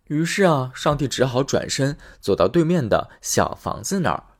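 A male storyteller reading a classic fairy tale aloud for children. His friendly delivery rises and falls a lot in pitch, keeping the telling lively. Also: normal speed. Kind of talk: bedtime story narration.

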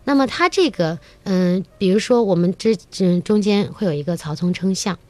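A woman's voice: medium pitch, moderate pace, dry recording. Female talk-show guest, teacher type, mid-range voice, enthusiastic storyteller, very dry close sound. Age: adult, mother of a young child. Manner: enthusiastic, animated storytelling with acted-out quotes. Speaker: guest teacher of children's musical theatre. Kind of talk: radio talk-show conversation.

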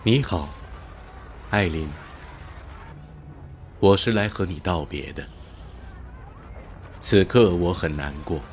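A man playing a solemn, sorrowful caller saying farewell in an audio drama, speaking in a measured way. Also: low-pitched, slight background noise.

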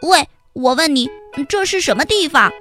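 A woman voicing a nervous little boy ant who fibs and stammers in a rushed, higher voice. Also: lively intonation, a bit bright, faint music behind. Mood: eager. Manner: stammering and rushed when caught lying.

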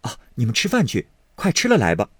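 An eager young nobleman teases and coaxes in a bright, lifting voice, voiced by a man. The delivery rises and falls widely and sounds dry and close. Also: medium pitch, wildly swooping pitch, a little fast, slightly rough, no room sound, full character voice. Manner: eager, teasing.

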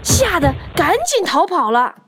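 Female children's story narrator, expressive and swooping, with clear background music. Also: extremely high pitch, a little slow.

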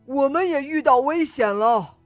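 A woman voicing a big, kindly male animal in a children's storybook, low-toned and calling out urgently. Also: lively intonation, unhurried, dry recording. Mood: alarmed. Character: big male whale or polar bear, kindly protector. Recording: music under the voice.

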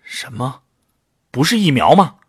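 A man's voice: medium pitch, very animated, measured pace, breathy edge, dry recording, clean studio sound, heavily acted. An actor playing an earnest man who volunteers for a drug trial and tells his own story in a science fiction radio drama. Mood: urgent, agitated. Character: male first-person narrator, anxious but resolute drug-trial volunteer. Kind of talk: Chinese sci-fi radio drama acting and narration.

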